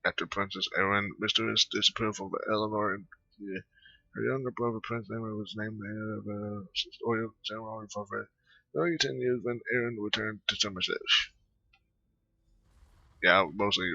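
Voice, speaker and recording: A man giving casual, off-the-cuff gaming commentary in a halting, offhand, mumbly way. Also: on the low side, fairly even intonation, vocal fry, pushed and bright, no room sound, dull audio. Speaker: gameplay commentator.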